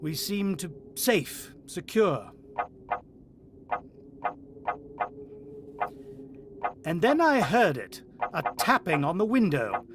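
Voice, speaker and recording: An actor playing a brooding, uneasy husband and father in a vintage-style radio drama, musing with dramatic pauses. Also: sits high, sing-song, a little hiss or hum. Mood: tense narration.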